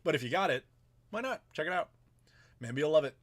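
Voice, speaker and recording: A man's voice: fairly high pitch, lively intonation, brisk. Chatty man in his thirties. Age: 30s. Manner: rambling, chatty, reads viewer messages aloud. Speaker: YouTuber host. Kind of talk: casual live Q&A chat.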